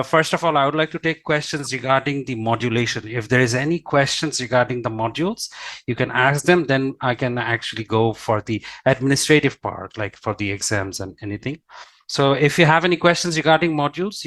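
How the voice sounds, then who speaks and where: A man's voice: medium pitch, fairly bright tone. A man moderating an online course session on a video call, politely taking questions with frequent hesitant fillers. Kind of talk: hosting an online Q&A.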